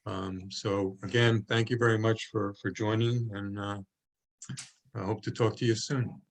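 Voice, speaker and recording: Hesitant low-voiced man hosting a call. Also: level tone, a little slow, creaky. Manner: hesitant, many fillers and restarts. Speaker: meeting organizer. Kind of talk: online video call meeting. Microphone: video call.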